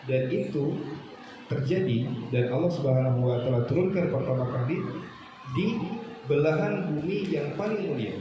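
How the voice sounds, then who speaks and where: A man's voice: on the high side, lively intonation, moderate pace. A man with an Indonesian accent giving an Islamic lecture to students, didactic, repeating phrases and posing questions to his listeners. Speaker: religious teacher lecturing students. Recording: very echoey room with clear background noise.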